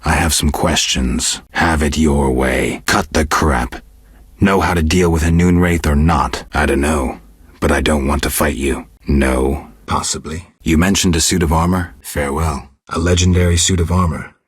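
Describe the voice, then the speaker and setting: A stoic, world-weary monster hunter from a fantasy video game. His voice is exceptionally deep and heavily husky, recorded close and dry. He speaks in terse, restrained phrases, blunt but quietly protective. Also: fairly even intonation, moderate pace, no room sound. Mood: stern, curt. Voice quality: gravelly, husky low voice. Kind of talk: video game character dialogue.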